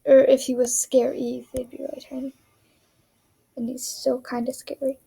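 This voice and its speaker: A young woman narrating a casual drawing tutorial in a monotone, rambling way, often dropping to a whisper as she works. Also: high voice, moderate pace, gravelly, breathy edge, poor audio. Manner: monotone, rambling, self-deprecating.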